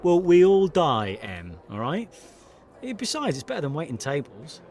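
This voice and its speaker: A man's voice: on the high side, big pitch swings, normal speed, a little mellow, slight background noise. A man playing a young, struggling British jobbing actor, self-deprecating, insecure and hesitant, in a naturalistic audio drama. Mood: defensive.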